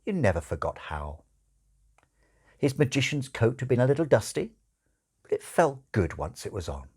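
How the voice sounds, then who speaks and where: A man reading a literary audiobook as narrator, expressive, with wry, deliberate phrasing. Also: mid-range voice, breathy edge.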